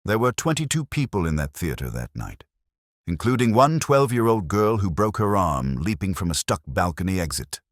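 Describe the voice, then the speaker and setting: A man voicing a composed, precise woman in an audiobook, speaking smoothly and with restraint as she confronts wrongdoers. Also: low voice, sing-song, no echo, clean studio sound, voice pushed up. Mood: calm resolve. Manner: smooth, measured, untheatrical.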